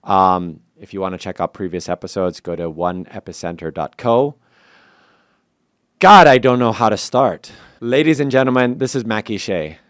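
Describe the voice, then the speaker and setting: A man's voice: fairly low pitch, moderate pace, a bit bright, no echo. Casual middle-aged man hosting, dry sound. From Taiwan. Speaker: podcast host, interviewer. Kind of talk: casual, informal interviewing.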